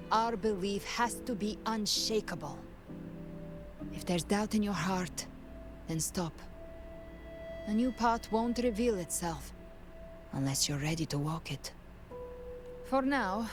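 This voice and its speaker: An actress playing a solemn, poised woman guiding a hero in a video game, speaking in a grave, measured, dramatic way. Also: lowish voice, close to an everyday voice. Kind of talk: scripted video game voice acting. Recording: game dialogue over clear background music. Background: game music.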